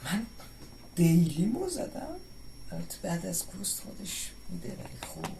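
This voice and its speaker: A woman's voice: lowish voice, huge pitch swings, racing, noisy background. A woman casually commenting over a video game as she plays. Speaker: game streamer. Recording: game music behind.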